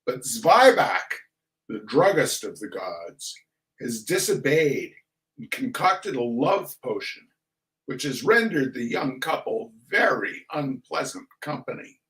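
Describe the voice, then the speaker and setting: A man giving a theatrical reading of a humorous text in a mock-solemn, deliberate manner with dramatic pauses. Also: medium pitch, sing-song, a bit bright, low-quality recording. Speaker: reader of a comic essay.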